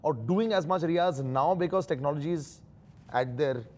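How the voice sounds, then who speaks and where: A man's voice: a little high, normal speed, a little mellow. A man in his thirties hosting an entertainment interview, playful and jokey, switching between English and Hindi. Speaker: interview host. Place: small dark studio set, on a sofa.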